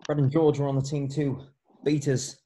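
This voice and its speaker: A man's voice: medium pitch, little pitch movement, normal speed, warm tone, lightly acted, low-quality recording. A man playing an eager, easily alarmed young schoolboy wizard in an amateur table read. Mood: amazed.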